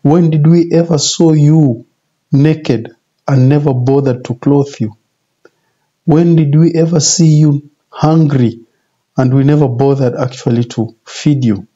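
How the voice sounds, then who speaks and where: A man in his forties preaches informally with a husky, mellow voice. He speaks deliberately and repeats points for emphasis. The sound is a little muffled, like a home video from a small office. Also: a little high, fairly even intonation. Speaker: religious video presenter. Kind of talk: informal sermon, retelling scripture.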